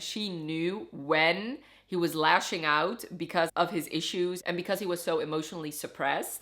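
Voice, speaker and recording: A woman's voice: lowish voice, a bit bright. A woman whose first language is Dutch, chatting casually and expressively as she gives an emphatic review to camera. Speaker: book reviewer.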